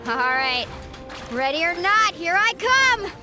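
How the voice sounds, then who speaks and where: A plucky, bubbly teenage girl from a video game. Her perky voice is very high and unhurried, swooping dramatically, with clear music behind. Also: big pitch swings, full character voice. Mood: confident. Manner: exclamatory, perky. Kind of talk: video game character acting.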